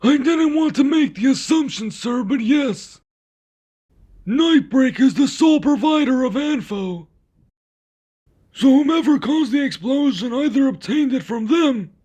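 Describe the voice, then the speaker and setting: A man's voice: very high-pitched, animated, unhurried, pauses often, dark tone, husky, exaggerated voice. A man playing a cautious, deferential royal aide in a fantasy ASMR roleplay, with formal speech.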